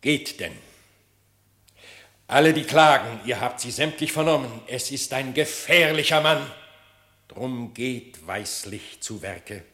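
Wary royal court animal, radio drama: formal, weighty, bright forceful voice in roomy echo. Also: male voice, mid-range voice, takes it slowly. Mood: commanding. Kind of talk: classic radio play acting in verse.

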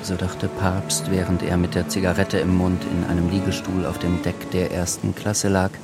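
A man reading scripted literary narration for a radio drama in a measured, reflective manner. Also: low voice, completely flat delivery. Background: music.

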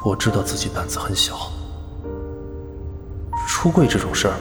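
Man acting sincere hesitant young lover, soft voice, long reverb, music behind, audio drama. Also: fairly low pitch, animated, moderate pace, natural voice. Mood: tender, earnest.

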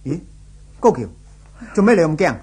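Higher-voiced, inquisitive male office pal. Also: sing-song, moderate pace, a little mellow, husky, full character voice. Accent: Hong Kong Cantonese. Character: friendly, inquisitive male office colleague, breezily reassuring. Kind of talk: 1970s radio drama acting.

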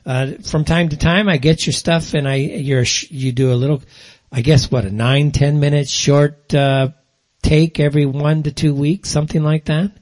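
A man hosting a political talk radio interview, putting wordy, halting questions to a guest. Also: fairly high pitch, steady tone, a little mellow. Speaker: radio show host, interviewer.

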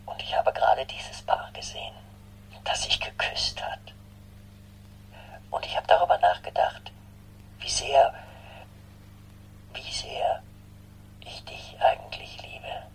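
An actor playing an earnest, lovesick boyfriend in a German radio drama, with a husky voice. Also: male voice, mid-range voice, lively intonation, slow pace, pauses often, in a whisper, heavily acted, low-quality recording. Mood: wistful, tender. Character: earnest lovesick man, a long-distance boyfriend.

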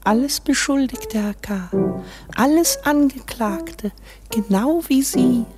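A woman's voice: high voice, takes it slowly, music in the background. An actress in a German radio play playing an embittered, scornful female court underling, with dramatic delivery that often drops to a whisper. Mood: cold.

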